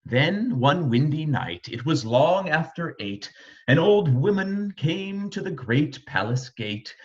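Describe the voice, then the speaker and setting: Male children's storyteller: slow, very lively intonation, rhythmic verse reading, slightly dull recording. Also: fairly high pitch. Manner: rhythmic, animated reading of rhyme. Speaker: storybook reader. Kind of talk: expressive scripted children's storytelling.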